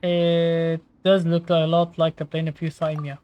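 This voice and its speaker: A woman's voice: low-pitched, level tone, measured pace, dark tone. A woman gamer giving casual, hesitant commentary, thinking aloud as she plays. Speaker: gamer reacting to a game story.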